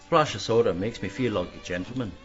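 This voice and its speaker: An actor playing a guarded, dry-witted career criminal in a radio mystery, speaking tersely. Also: male voice, on the low side, fairly quick, natural voice. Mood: wary. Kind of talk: radio mystery drama acting. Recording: dull band-limited audio with music.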